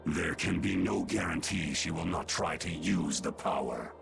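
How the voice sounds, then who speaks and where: Casting: ancient nonhuman judge for a game, very gravelly, low, stern and archaic, music underneath. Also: male voice, a little slow, full character voice. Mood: cold resolve. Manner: formal, solemn, archaic phrasing. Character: ancient, stern, imperious great creature judging humans.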